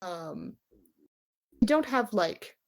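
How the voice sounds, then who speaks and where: A woman's voice: medium pitch, expressive, very slow. Woman game master narrating over music. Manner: conversational, descriptive. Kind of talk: improvised narration of a tabletop roleplaying game. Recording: music behind the voice. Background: background music.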